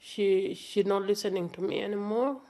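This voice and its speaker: A woman, speaking halting, broken English as a worried mother in an emotional interview. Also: mid-range voice, warm tone. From South Sudan.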